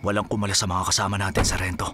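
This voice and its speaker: A man playing a steady, authoritative police captain with terse delivery in an action drama. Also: deep voice, racing, very noisy, close to an everyday voice. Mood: grave, low-key. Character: steady, commanding police captain leading an operation. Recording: music under the voice.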